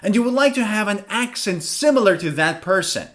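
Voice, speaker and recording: A man's voice: high voice, lively intonation, brisk, fairly bright tone. A man teaching an English pronunciation lesson, asking questions and answering them himself.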